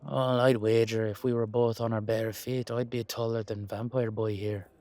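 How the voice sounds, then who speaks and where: A man's voice: mid-range voice, level tone, normal speed, mellow, no room sound, natural voice. A man voicing a wry, blunt leprechaun with an Irish accent, dry and colloquial, with a hint of menace. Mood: teasing.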